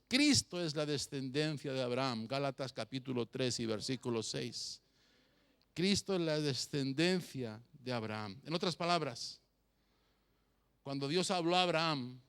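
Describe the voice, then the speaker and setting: A man's voice: on the high side, a bit bright, clean tone. A man preaching a sermon as a pastor, emphatic and repeating phrases for effect. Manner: rhetorical questions addressed to listeners.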